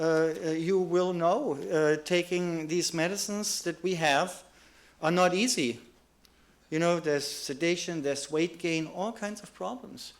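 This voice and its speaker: A middle-aged man with a German accent speaks to an audience in a lecture hall. His voice sits slightly high for a man. He is earnest and often repeats a word before going on. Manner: earnest, with many restarts and repeated words. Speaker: psychiatrist giving a lecture. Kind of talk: conference lecture.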